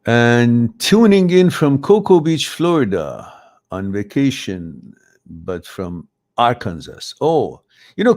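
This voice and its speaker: A man's voice: fairly low pitch, a little mellow. A middle-aged man, a Farsi speaker, hosting a live advice stream and reading out viewer questions, rambling and often correcting himself. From Iran. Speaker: host.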